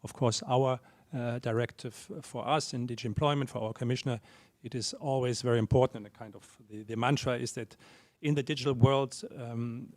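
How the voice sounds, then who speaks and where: A man, an official speaking on a policy panel in a measured, formal way, pausing often on fillers. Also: mid-range voice, darkish tone, no echo. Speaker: European Commission official. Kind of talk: panel talk.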